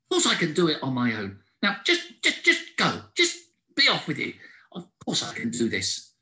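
A man voicing a stubborn, proud male squirrel who gets tearful and whimpers in a high voice. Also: big pitch swings, normal speed, exaggerated voice. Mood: huffy.

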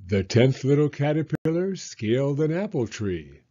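A man in his sixties reading a children's picture book aloud in a measured storytelling manner. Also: medium pitch, big pitch swings, dark tone, muffled recording. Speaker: story reader and host, elementary school teacher.

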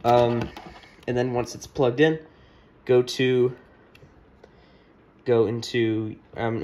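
A man giving a casual hands-on tech tutorial in a hesitant, halting way, often repeating himself, recorded indoors at a desk. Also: mid-range voice, darkish tone. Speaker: tutorial maker. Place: indoor room at a wooden desk. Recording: noticeable room background noise.

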